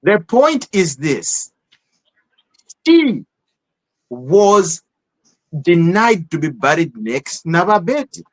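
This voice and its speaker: A man's voice: high voice, big pitch swings, very slow, long pauses. A Zambian man hosting a heated podcast panel debate, talking in bursts with repeated words and local phrases mixed into his English. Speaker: podcast host moderating a panel.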